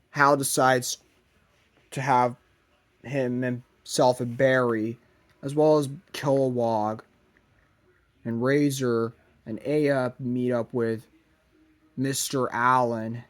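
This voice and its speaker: A man narrating a fan-made plot idea in a halting way, in short broken phrases. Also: mid-range voice, smooth.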